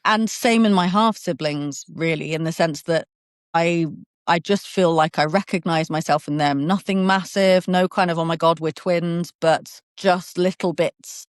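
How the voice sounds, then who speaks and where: A woman in her thirties from England with a southern English accent, talking in an animated, open way as an interview guest, often laughing and restarting. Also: fairly low pitch, a little slow, dry recording, studio quality. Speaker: podcast guest. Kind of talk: conversational interview.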